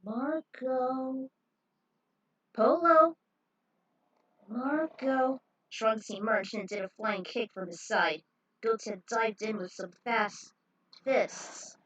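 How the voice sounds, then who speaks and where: Bright, forceful woman reading a story. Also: mid-range voice, fairly even intonation, slow, no echo, low-quality recording. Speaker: fan fiction narrator. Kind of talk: dramatic story read-aloud.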